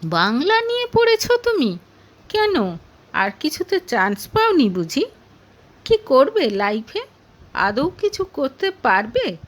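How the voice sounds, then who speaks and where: A woman's voice: high voice, huge pitch swings, takes it slowly, full character voice. A woman voicing a haughty, scornful middle-aged mother in an audio story, interrogating and snapping with contempt. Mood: disgusted disbelief.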